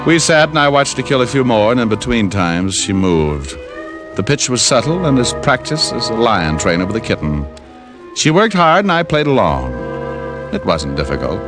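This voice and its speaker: Low-voiced, wry private eye. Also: male voice, moderate pace. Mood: wry narration. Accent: American. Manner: wry, smooth-talking, deadpan. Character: wry, flirtatious hard-boiled male private detective narrating his case. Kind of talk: 1940s-50s radio detective acting. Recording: old radio recording with music behind.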